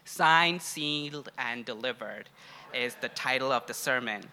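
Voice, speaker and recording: A young man who grew up in Sri Lanka and India addresses a church congregation. His voice is strikingly bright and forceful, sitting at an average pitch. He talks in a conversational, personal way, like an informal opening to a sermon. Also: unhurried, poor audio. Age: young adult. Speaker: guest preacher, grad student.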